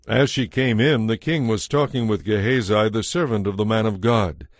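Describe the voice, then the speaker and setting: A man's voice: lowish voice, normal speed, no room sound. Male scripture narrator, dry studio sound. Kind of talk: scripted audio Bible narration.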